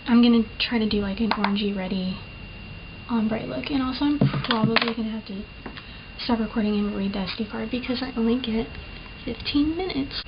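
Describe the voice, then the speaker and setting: A woman's voice: on the high side, brisk. A young woman chatting through a makeup tutorial in a casual, self-deprecating, rambling way, with a slight lisp from the camera mic. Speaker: beauty vlogger. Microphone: built-in camera mic. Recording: muffled lo-fi camera mic with clear hiss.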